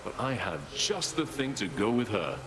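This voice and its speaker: A man's voice: medium pitch, sing-song, moderate pace, background noise, lightly acted. A man voicing a laid-back, chummy party god. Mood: breezy. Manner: easygoing and chummy.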